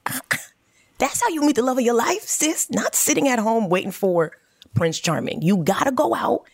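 A woman guest on a podcast telling stories in a sassy, animated way. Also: on the high side, normal speed, breathy edge, dry recording, studio quality. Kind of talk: casual podcast chat.

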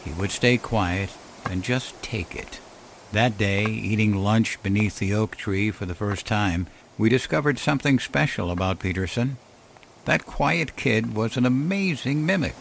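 Low-voiced man narrating a solemn story. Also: expressive, a little hiss or hum, low-quality recording. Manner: measured, solemn, reflective storytelling. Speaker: narrator of a horror fiction podcast. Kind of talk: scripted first-person story narration. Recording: music behind the voice. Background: clear music bed.

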